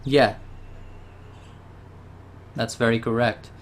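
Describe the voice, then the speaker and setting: An Israeli man with a middle-range voice speaks patiently over a video call. He explains things slowly, circling back with small restarts. A faint hiss sits under the line. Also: mid-range voice, level tone. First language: Hebrew. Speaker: Hebrew tutor. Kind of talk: casual orientation call.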